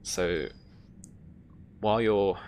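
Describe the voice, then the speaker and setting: A woman's voice: rumbling low, extremely slow, a little mellow, slight background noise. A woman running a tabletop roleplaying game as game master, informal and encouraging toward her players.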